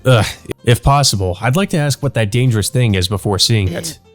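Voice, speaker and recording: A man's voice: mid-range voice, a little fast, faint music behind, natural voice. A man reading the part of an easygoing, wry young male visual novel protagonist in a conversational way.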